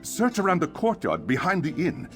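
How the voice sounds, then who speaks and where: An actor playing a fussy, exasperated innkeeper in a fantasy video game, with a grumbling, theatrical delivery. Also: male voice, on the high side, wildly swooping pitch, normal speed, a bit bright, heavily acted. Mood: instructive. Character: fussy, exasperated male innkeeper eager to help. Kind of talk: scripted video game character acting. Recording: music behind, plucked guitar.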